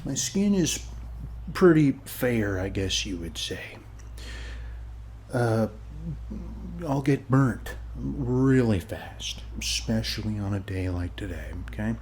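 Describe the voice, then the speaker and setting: Man acting as friendly male neighbor, ASMR roleplay, slow halting delivery, soft and half-whispered, rambling, reassuring, faint ambient noise. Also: on the low side, animated. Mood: rambling, easygoing. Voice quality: soft, often dropping to a whisper. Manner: halting, rambling, reassuring, often ending phrases with "okay". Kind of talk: ASMR roleplay, soft-spoken one-sided conversation.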